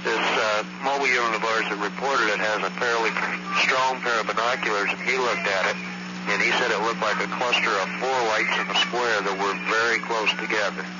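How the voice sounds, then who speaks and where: Low-voiced American man on noisy phone line. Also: fairly even intonation, fairly quick. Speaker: caller, eyewitness reporting a sighting. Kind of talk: informal phone report. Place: on a telephone at home. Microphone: telephone handset.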